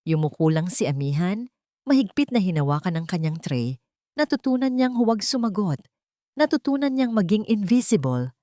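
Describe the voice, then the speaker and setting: A woman's voice: fairly low pitch, darkish tone, dry recording, studio quality. A woman narrating a dramatic scripted story with solemn, emotive delivery.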